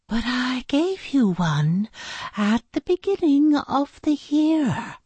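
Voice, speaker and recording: A woman's voice: medium pitch, lively intonation, darkish tone, slightly rough, no echo, pitched above the performer's own voice, exaggerated voice. A woman voicing an exasperated, scolding woman schoolteacher in a children's story, with dramatic, emphatic delivery. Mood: disappointed. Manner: dramatic, emphatic, drawn-out stressed words.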